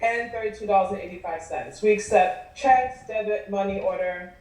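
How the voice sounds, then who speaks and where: A woman's voice: medium pitch, moderate pace, a little mellow, lightly acted. A woman acting a polite, businesslike care-home billing administrator in a table read. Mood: polite, firm. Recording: echoey room, distant video call mic.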